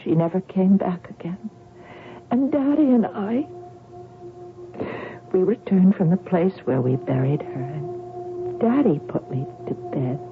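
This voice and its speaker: An actress playing a haunted, wistful woman who recalls her childhood in a dreamy, halting way, in radio drama style. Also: mid-range voice, big pitch swings, slight background noise. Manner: slow, dreamy, halting, dwelling on repeated words. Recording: tinny band-limited radio with music underneath.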